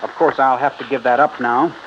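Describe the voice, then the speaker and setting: A man's voice: medium pitch, fairly even intonation, fairly quick, close to an everyday voice. An actor playing a nervous, evasive young man in a 1950s radio drama, defensive and stammering. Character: nervous, evasive young rancher-student, cornered killer. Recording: muffled old radio, faint hiss.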